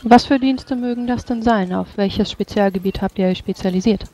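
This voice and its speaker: A man voicing a formal, skeptical female mage interrogator in a tabletop game, questioning in measured words. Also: high-pitched, warm tone, pitched above the performer's own voice, rough recording. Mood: probing. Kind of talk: tabletop roleplay in character.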